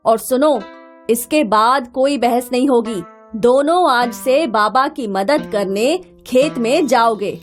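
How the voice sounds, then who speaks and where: A strict village mother, voiced by a woman in a cartoon moral tale. Her voice is high and unhurried, with an emphatic, scolding manner. Music runs underneath. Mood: firm. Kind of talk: animated moral story acting.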